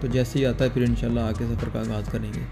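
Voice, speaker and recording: A young man from Pakistan narrating a casual travel vlog at night outside an airport, talking calmly to viewers through a phone held at arm's length. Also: medium pitch, quick pace, over music. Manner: calm, explanatory, talks to viewers directly. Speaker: travel vlogger. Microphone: phone camera held at arm's length.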